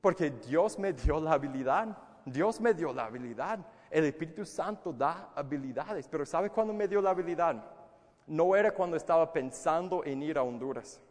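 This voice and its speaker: A male preacher whose first language is English gives an earnest, emphatic sermon with a somewhat high voice. He often repeats words while he searches for the next one. His voice carries a long reverb, as if from a large hall. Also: expressive, moderate pace, a little mellow. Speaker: pastor.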